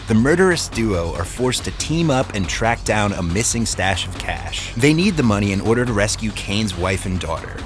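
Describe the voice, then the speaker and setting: A man hosting a video essay series, reading scripted narration in an informal, lightly wry manner. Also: fairly low pitch.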